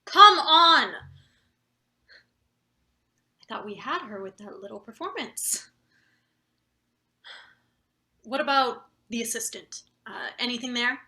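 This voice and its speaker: A woman's voice: a little high, expressive, moderate pace, sharp tone. A woman playing a coldly manipulative, impatient boss in a satirical play reading, with a pointed, insinuating delivery. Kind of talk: table read of a satirical stage play.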